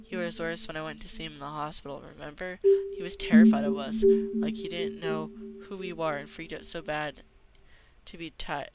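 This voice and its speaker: A woman reading visual novel text aloud as an amateur video maker, halting and stumbling over names and words. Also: sits low, fairly even intonation. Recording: music playing behind the voice. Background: game music.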